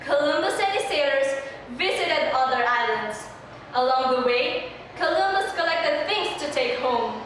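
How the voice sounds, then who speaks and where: A woman's voice: high-pitched, animated, unhurried, fairly bright tone. A young woman narrating a student readers' theater piece from a script. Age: young adult. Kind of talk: scripted narration read aloud on stage. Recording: distant mic, very echoey hall, faint noise.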